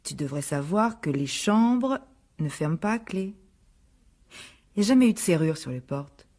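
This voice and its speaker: An actress playing a defensive, exasperated middle-aged divorced mother in a French radio drama, argumentative, with a husky voice. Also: fairly low pitch, expressive, moderate pace, a little mellow, close to an everyday voice. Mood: weary, subdued.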